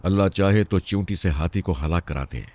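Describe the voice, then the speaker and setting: A man's voice: deep voice, moderate pace, darkish tone. Man voicing a bold, defiant young girl. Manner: stern, cocky, warning.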